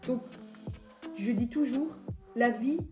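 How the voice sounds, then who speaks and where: A woman's voice: a little high, takes it slowly, roomy sound, music in the background. A woman from Belgium chatting casually as a beauty vlogger, answering viewer questions with lots of fillers. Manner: chatty, gives life advice, many fillers. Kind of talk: casual chat.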